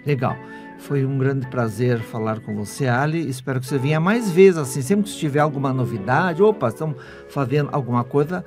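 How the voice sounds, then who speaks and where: A man's voice: mid-range voice, a little fast. Older radio host, man over music. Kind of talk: conversational radio hosting. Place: small foam-padded radio studio. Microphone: studio microphone. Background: clear music.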